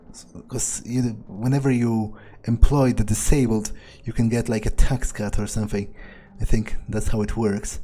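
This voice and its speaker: A man playing a wary, crude, sardonic vampire in an improvised video game roleplay, muttering his thoughts with hesitant pauses. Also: fairly low pitch, flat delivery, warm tone, slight background noise, lightly acted. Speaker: gamer playing in character.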